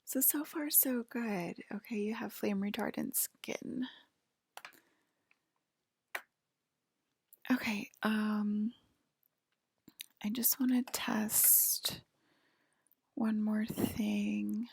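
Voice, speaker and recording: A woman acting as a female alien abductor in an ASMR roleplay, whispering slowly and hesitantly. The voice is breathy and heavily crackled with fry. It keeps a soft, clinical, gently official manner throughout. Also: mid-range voice, steady tone, full character voice. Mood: calm, curious.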